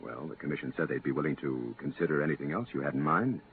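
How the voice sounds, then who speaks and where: An actor playing a polite, persuasive visiting captain on official business, coaxing and a little hesitant, in 1950s radio drama style. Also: male voice, a bass voice, quick pace. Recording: tinny, band-limited, hissy 1950s radio recording.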